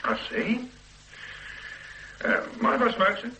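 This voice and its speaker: An actor playing a wry, sardonic police sergeant in a radio drama. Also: male voice, very high-pitched, slow pace, pushed and bright, slight background noise, exaggerated voice, low-quality recording. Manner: dry and sardonic.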